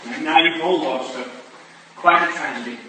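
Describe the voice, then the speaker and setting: A man playing an affable, inquisitive married gentleman, hearty and polite, in a staged reading of a period drama. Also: fairly high pitch, normal speed. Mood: genial. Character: affable married gentleman, eagerly pumping for gossip. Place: staged reading in a large echoey room. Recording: echoey hall from far off, faint noise.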